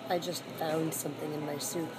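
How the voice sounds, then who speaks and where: A hoarse woman hosting her own food vlog, chatting frankly about her meal while sitting in a seafood restaurant. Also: low voice, little pitch movement, brisk. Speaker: food vlogger. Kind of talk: casual restaurant review vlog. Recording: loud background noise.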